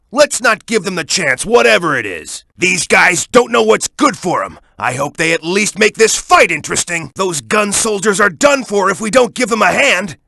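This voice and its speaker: A man's voice: high voice, gravelly, pushed and bright, dry recording, studio quality, full character voice. A man voicing a cocky, tough young echidna hero in a video game, giving hints in a confident, punchy, instructive manner. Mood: cocky, wary. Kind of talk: video game voice acting, tutorial hints and banter.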